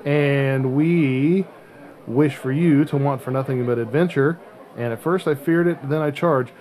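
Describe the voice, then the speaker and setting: A man's voice: medium pitch, a little slow, darkish tone. A man hosting a podcast in a casual, conversational style. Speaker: podcast host.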